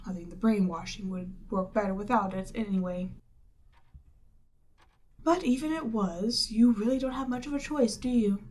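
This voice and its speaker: A woman voicing a proud, seductive demon queen in a scripted ASMR roleplay, haughty and measured, lapsing into breathy near-whispers. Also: mid-range voice, a little mellow, a little hiss or hum, close to an everyday voice. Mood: coolly persuasive.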